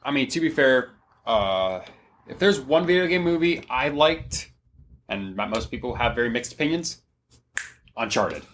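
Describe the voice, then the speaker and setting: A man's voice: medium pitch, lively intonation, normal speed, a bit bright, a little hiss or hum. A man chatting casually and conversationally as a podcast co-host. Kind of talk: casual conversational chat, some swearing.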